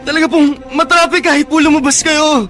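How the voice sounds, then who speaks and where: Meek, high-voiced orphaned nephew. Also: male voice, steady tone, brisk, pushed and bright. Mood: earnest pleading. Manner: pleading, respectful, plaintive. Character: meek, pleading orphaned student nephew, mistreated. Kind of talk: melodramatic radio drama acting.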